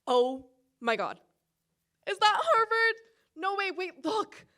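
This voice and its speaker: A woman's voice: very high voice, takes it slowly, pitched above the performer's own voice, exaggerated voice. A woman acting out a squealing, starstruck young sightseer. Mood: thrilled. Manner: squealing, breathless excitement. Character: starstruck young woman seeing Harvard, gushing. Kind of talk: acted quote in a staged personal story.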